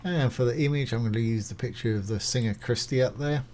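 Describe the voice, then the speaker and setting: Man presenting a casual software tutorial. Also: medium pitch, fast, slight background noise. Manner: informal, reassuring, explains each step as it is done. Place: voice-over of a screen recording.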